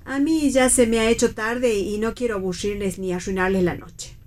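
A woman's voice: fairly high pitch, steady tone, moderate pace, a little mellow, close to an everyday voice. An actress playing a polite, naive middle-aged mother, in an earnest, formal manner for radio theatre. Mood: resigned, earnest.

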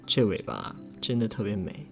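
A woman's voice: extremely low pitch, big pitch swings, moderate pace, vocal fry. A woman co-host chatting casually about an audio drama, putting in short, admiring agreements. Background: audio drama with faint music.

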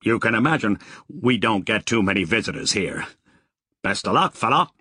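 A man's voice: on the low side, lively intonation, normal speed, sharp tone, husky, no room sound, studio quality, full character voice. A man voicing a friendly, queasy male rest-stop worker with a Boston accent, chatty and joking.

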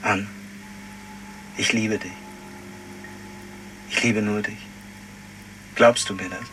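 An actor playing a shaken, grieving middle-aged father in a radio play, speaking in halting, restrained phrases. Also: fairly low pitch, steady tone, a bit bright, a little hiss or hum, rough recording. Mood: pleading. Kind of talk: naturalistic radio play acting.

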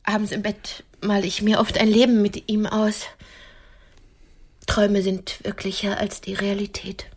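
A woman's voice: mid-range voice, level tone, a little slow, a little mellow, breathy edge. A woman acting in a radio drama as a lonely older typist, haughty and cutting, yet romantic. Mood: wistful. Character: lonely middle-aged female typist, haughty, sharp-tongued, romantic. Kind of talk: intimate radio drama dialogue.

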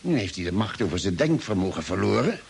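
An actor playing a thoughtful expedition doctor in a radio drama, explaining things deliberately and hesitating mid-sentence. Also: male voice, deep voice, very animated, creaky, husky, exaggerated voice. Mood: urgent, explaining. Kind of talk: 1950s-style radio drama acting. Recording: hissy old radio play recording.

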